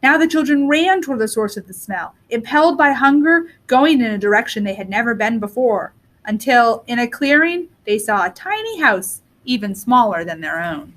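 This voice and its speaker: A woman reading a children's story aloud in an expressive storytelling style. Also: on the high side, normal speed. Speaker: storybook reader.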